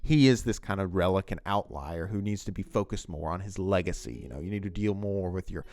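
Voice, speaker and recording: Low-pitched man explaining informally. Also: lively intonation, brisk, darkish tone, no room sound. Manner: rambling, conversational, addressing the viewer. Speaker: video essayist on fiction writing.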